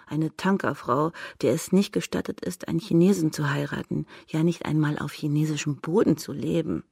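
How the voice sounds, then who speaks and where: A young courtesan in a radio drama, proud and scornful. Her voice is husky and creaky, recorded close and dry. She speaks frankly, with a cynical, dismissive edge. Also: sits low, normal speed, darkish tone, no room sound, exaggerated voice. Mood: calculating, demanding.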